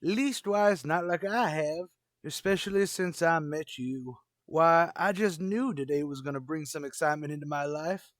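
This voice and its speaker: A man's voice: on the high side, moderate pace, no room sound, clean studio sound, natural voice. A man playing a smitten, stubborn old custodian with folksy, rustic turns of phrase in a radio comedy. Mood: stubborn, lovestruck.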